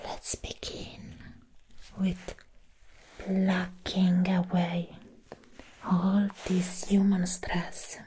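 A woman playing a sweet, doting vampire in an ASMR roleplay, soothing the listener in a breathy whisper and gently repeating words. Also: fairly low pitch, monotone, very slow, pauses often, a little hiss or hum, poor audio. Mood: soothing, hushed. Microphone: close ASMR microphone.

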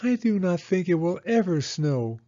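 A man's voice: sits high, sing-song, moderate pace, mellow, no echo, highs cut off, pitched above the performer's own voice. A man voicing a small, sweet and eager young girl mouse in a storybook, with an earnest, childlike manner. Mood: disappointed. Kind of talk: storybook character voice.

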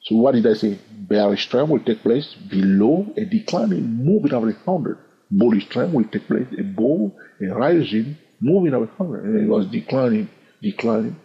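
A man with a husky voice walks through price charts in a screen-recorded trading lesson. His pitch swings widely as he asks rhetorical questions and chuckles. The recording sounds dull and lo-fi. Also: animated, moderate pace. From lives in London. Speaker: trading educator. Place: at a computer, voice over screen.

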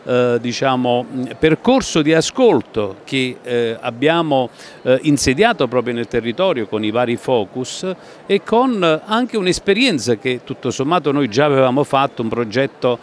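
Middle-aged man, halting delivery, interview, medium pitch, faint hall noise. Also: very animated. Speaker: local official, interviewee. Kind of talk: interview answer. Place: busy exhibition hall. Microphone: handheld interview microphone.

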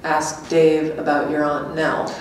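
A woman's voice: low voice, level tone, slow. A woman performing a wry, teasing, blunt character in a staged literary reading. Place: small gallery room before an audience. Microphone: stand microphone, heard from a distant camera. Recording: echoey room, distant mic, faint noise.

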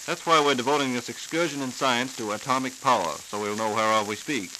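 A man's voice: mid-range voice, brisk, bright, forceful tone, rough recording. An American man hosting a scripted 1940s radio program. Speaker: radio host. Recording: hissy 1940s transcription disc.

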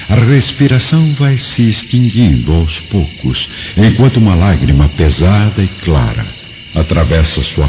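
A man narrating an audiobook drama in a measured, dramatic way. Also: deep voice. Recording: dull lo-fi, clear music behind.

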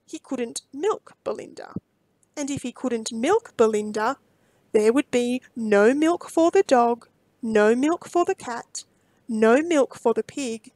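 A woman reading a children's storybook aloud expressively, with deliberate phrasing and dramatic emphasis. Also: fairly high pitch, a little mellow, no echo.

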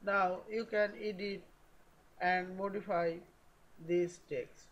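A man narrating a step-by-step software tutorial in short, halting phrases. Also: sits high, flat delivery.

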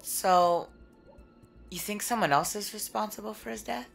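A woman's voice: mid-range voice, normal speed, gravelly, soft background music, close to an everyday voice. A young woman playing a casual, concerned college friend in an audio drama.

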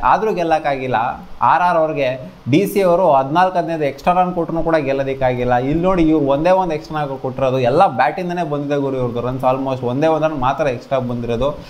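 Fast-talking young man, slightly high voice. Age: 20s. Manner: animated, colloquial, exclamatory. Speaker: host of a cricket review channel. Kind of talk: casual match commentary to camera. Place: small indoor home studio. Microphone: clip-on lapel mic.

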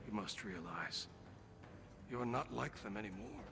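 Gravelly, brooding godlike man. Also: fairly low pitch, very animated, measured pace, exaggerated voice. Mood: contemptuous. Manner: solemn, commanding, theatrical. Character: grand, brooding godlike male being with superhuman powers. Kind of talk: dramatic video game cutscene acting. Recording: loud music and noise behind.

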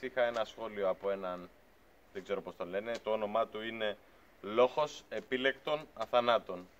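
Earnest man talking outdoors, dull audio. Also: fairly low pitch. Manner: earnest, argumentative, gestures while talking. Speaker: beekeeping channel host. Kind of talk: casual talk to camera. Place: outdoors by a pickup truck at a farm shed.

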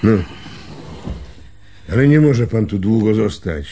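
Inhospitable villager, low and slow, blunt, measured radio-play delivery. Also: male voice, expressive, warm tone, slightly rough, heavily acted. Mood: dour, blunt. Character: inhospitable, dour male villager, blunt yet apologetic. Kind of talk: radio drama acting.

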